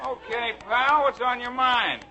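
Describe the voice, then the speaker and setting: Very high-pitched breezy sitcom pal. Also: male voice, measured pace, pushed and bright, some chatter behind, heavily acted. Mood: breezy, mock-innocent. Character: breezy, innocent-acting scheming male neighborhood pal. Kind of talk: 1950s radio sitcom acting. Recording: muffled old radio recording, noisy background.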